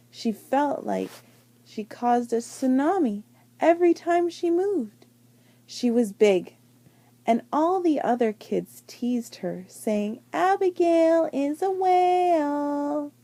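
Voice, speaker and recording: A woman reading a children's picture book aloud with deliberate, dramatic phrasing and emphasised words. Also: fairly high pitch, creaky, dark tone, no echo. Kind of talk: expressive children's storybook read-aloud.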